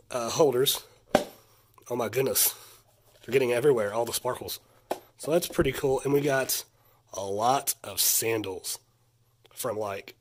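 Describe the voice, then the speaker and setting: A husky-voiced man in his thirties talks in an easy, chatty way, sounding stuffed up from a sinus infection. He is recorded indoors on a phone camera held close, easily impressed and drifting into a rant now and then. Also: medium pitch. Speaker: vlogger showing a haul. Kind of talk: casual haul vlog chat.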